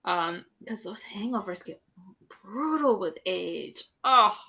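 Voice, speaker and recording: A woman in her late thirties, co-hosting a casual chat podcast over a video call, candid and quick to laugh. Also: medium pitch, animated, creaky, sharp tone. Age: late 30s. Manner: candid, laughing, swears freely. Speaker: podcast co-host. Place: home room at night. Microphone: video call webcam. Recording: dull lo-fi webcam audio.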